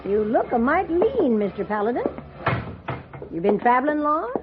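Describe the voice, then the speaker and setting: An actress playing a plainspoken, bossy but hospitable frontier boardinghouse matron with a rural Western American accent in a radio drama. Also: female voice, high-pitched, big pitch swings, normal speed, background noise. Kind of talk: 1950s radio drama acting. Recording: muffled vintage radio recording.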